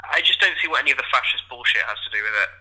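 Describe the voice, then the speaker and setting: A blunt, argumentative literary friend in a radio play. His speech is rapid and very bright, coming through a telephone filter. He sounds wry and skeptical. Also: mid-range voice, expressive, husky, heavily acted, rough recording. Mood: exasperated. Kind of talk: audio play dialogue.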